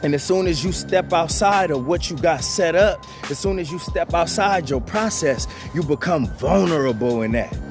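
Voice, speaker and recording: A man's voice: on the high side, lively intonation, moderate pace, few pauses, over music. A man giving blunt, profane motivational advice straight to his viewers, repeating himself and calling them "bro". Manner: blunt, profane, repetitive, calls listeners "bro". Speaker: channel host giving advice.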